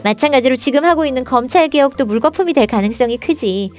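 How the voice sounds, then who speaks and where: A woman's voice: high voice, expressive, quick pace, background noise. A woman playing an indignant young storybook princess in a political satire, scolding and explaining with pointed rhetorical questions. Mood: emphatic explaining. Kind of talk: scripted political satire dialogue, storybook parody.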